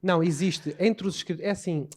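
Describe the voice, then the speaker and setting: An Angolan man with a mellow, warm tone and a voice that sits a little high for a man. He chats in a relaxed interview, animated as he tells stories and slips into other people's voices. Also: fairly quick. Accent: Angolan Portuguese. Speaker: author guest.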